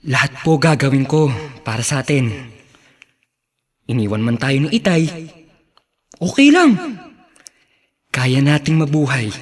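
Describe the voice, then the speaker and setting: An actor playing a hopeful, devoted young son who speaks earnestly and emphatically in a TV melodrama. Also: male voice, medium pitch, expressive, unhurried, long pauses. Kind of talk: TV melodrama acting.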